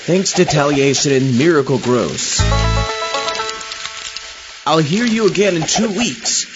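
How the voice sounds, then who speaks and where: A man's voice: fairly high pitch, lively intonation, takes it slowly, dull audio, loud music behind the voice. A man hosting a podcast in a playful, hammy, scripted comic style. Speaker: podcast host. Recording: band-limited, loud sizzling noise.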